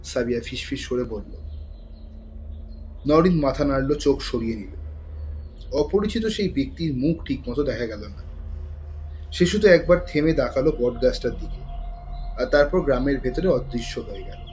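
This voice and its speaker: A male storyteller narrates a thriller with measured suspense. His pitch sits in the middle, and faint ambient noise runs behind the dramatic reading. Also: animated, a little mellow, poor audio.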